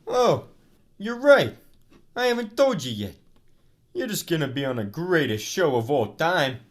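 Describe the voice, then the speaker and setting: A man's voice: a little high, very animated, unhurried. Man playing a brash, swooping wisecracking hustler. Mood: cocky, persuasive. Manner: wisecracking, slangy showman. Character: brash, self-serving male hustler with big talk. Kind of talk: radio drama acting.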